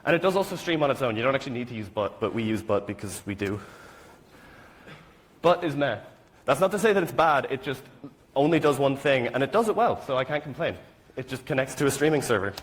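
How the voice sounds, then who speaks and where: A man's voice: mid-range voice, big pitch swings, fairly quick, slight background noise. A young man from rural Ireland with an Irish accent, giving a casual technical presentation at a conference in an informal, wry, self-deprecating way. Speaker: student conference speaker.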